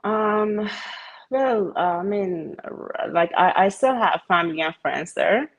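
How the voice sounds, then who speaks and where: A woman's voice: medium pitch, animated, slow pace, highs cut off. A woman in her thirties, from Iran, speaking as a guest over her phone with headphones, hesitant and full of fillers. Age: 30s. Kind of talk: casual remote interview.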